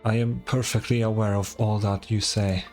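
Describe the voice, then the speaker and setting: A man's voice: lowish voice, little pitch movement, normal speed, a little mellow, soft background music, natural voice. A man playing a weary, resolute god-king in a fantasy game, speaking in a formal, regal manner. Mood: calm resolve. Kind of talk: dramatic fantasy game dialogue.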